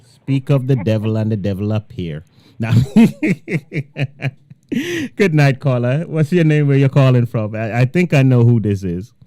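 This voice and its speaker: A man's voice: medium pitch, sing-song, measured pace, dark tone, no echo. A man hosting a talk radio show, rambling and drawn out, laughing often. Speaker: radio host.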